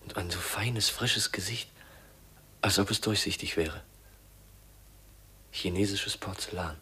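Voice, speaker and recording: Awkward young man, low voice, breathy-husky, hesitant, polite, overwhelmed, vintage radio drama. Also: sharp tone, exaggerated voice. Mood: awed, self-reproachful. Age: young adult. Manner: hesitant, halting, polite, murmuring inner monologue. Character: shy, awkward young heir, uneasy and overwhelmed. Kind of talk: 1950s German radio drama acting. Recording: 1950s radio drama recording.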